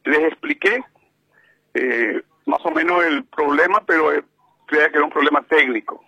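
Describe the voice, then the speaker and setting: A man with a Paraguayan Spanish accent, calling into a radio show and speaking slowly with many hesitations. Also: fairly high pitch, vocal fry, bright, forceful tone, breathy edge, no room sound. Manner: slow and measured, with many hesitations. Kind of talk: slow deliberate radio commentary. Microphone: telephone. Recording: muffled phone line.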